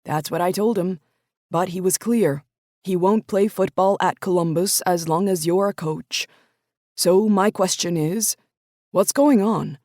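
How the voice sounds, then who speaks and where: A woman voicing a stern male high school football coach who talks firmly and presses for answers, in an audiobook. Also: unhurried, dark tone, no echo, clean studio sound, close to an everyday voice. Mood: firm, pressing. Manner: firm, pressing, authoritative. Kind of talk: audiobook character voice.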